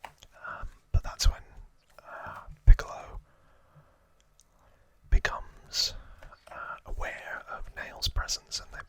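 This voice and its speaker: A man hosts ASMR in a constant breathy whisper with a bright, crisp hissing edge. He tells trivia informally, feeling his way through it from memory. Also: takes it slowly, dry recording. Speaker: ASMR host.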